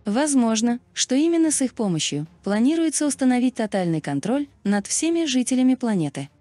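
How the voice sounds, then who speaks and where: A woman narrating a scripted video in a measured, explanatory manner. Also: fairly high pitch, very animated, warm tone, dry recording, faint music behind.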